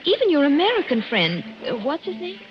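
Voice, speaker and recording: An actress playing a happy yet uneasy young American newlywed in a 1940s radio drama. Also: female voice, high voice, wildly swooping pitch, brisk. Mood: anxious. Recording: muffled, noisy 1940s radio recording.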